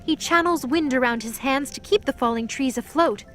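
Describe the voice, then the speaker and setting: A woman's voice: high voice, moderate pace, fairly bright tone, soft background music. A woman narrating a scripted audio drama as its storyteller.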